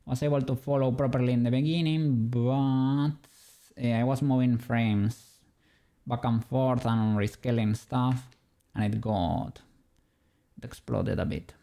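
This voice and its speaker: Slow, gravelly Spanish-accented man. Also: medium pitch, steady tone, dark tone. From Spain. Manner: musing aloud, chatting with viewers, light laughs. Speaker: streamer and 3D rigger. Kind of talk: casual livestream chat while working.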